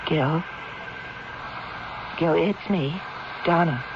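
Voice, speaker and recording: An actress playing a scheming wife who feigns grief over her dying husband, halting and dramatic, in a seventies radio drama. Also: sits low, heavily acted. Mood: soothing, insistent. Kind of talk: 1970s radio drama acting. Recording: noisy, muffled vintage radio recording.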